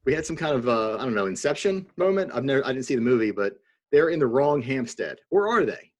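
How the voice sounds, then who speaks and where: Husky, lively-voiced teasing man. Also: medium pitch, quick pace. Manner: wry, teasing, laughs often. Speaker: podcast co-host. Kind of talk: casual chat on a video call. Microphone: video call.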